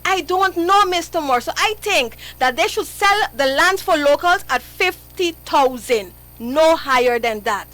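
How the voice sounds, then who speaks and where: A woman's voice: high-pitched, expressive, a little slow, hardly any pauses, a bit bright. A young woman, a guest on a live radio interview, speaking earnestly and pleading her point to the host.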